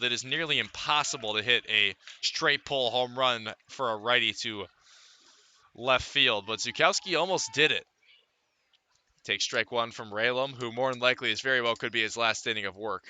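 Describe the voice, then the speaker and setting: A man does live radio baseball play-by-play in a very bright, forceful voice. His pitch sits in the middle, and he delivers each detail as sports commentary, clicking along from stats to action. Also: normal speed.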